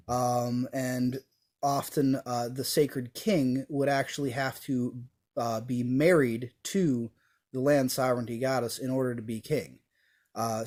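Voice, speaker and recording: This is a man talking about his faith without a script. He speaks slowly, filling gaps with ums and uhs, and starts sentences over. His voice is soft, at a middle pitch. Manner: hesitant, many ums and uhs; slow and soft-spoken. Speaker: pagan devotee sharing his views. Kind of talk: unscripted talk to camera.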